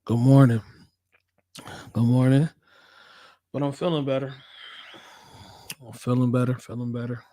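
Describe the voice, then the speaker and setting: American man, hoarse with a cold, relaxed dark tone, flat melody, slangy repetitive livestream chat, casual host. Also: medium pitch, measured pace, pauses often, no room sound. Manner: laid-back, slangy, repeats phrases. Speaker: livestream host, working nurse.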